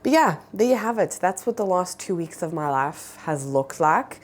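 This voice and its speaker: Low-voiced nasal woman chatting casually. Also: lively intonation, normal speed. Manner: chatty, rambling with fillers. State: recovering from a cold. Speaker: plant vlogger, mother. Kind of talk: casual chat.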